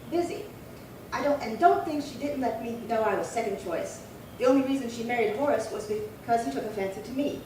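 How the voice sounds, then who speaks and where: A woman's voice: on the high side, darkish tone, lightly acted. A woman playing a resentful, defiant seventy-nine-year-old spinster in an amateur old-time radio crime drama, querulous and emphatic. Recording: roomy echo, distant mic, faint hiss.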